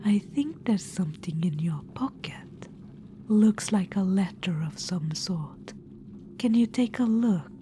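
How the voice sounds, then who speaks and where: A woman voicing an ancient undead witch-queen in an ASMR roleplay. She whispers softly, coaxing and a little condescending, and calls the listener darling. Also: mid-range voice, expressive, slow pace, noisy background. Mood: sly.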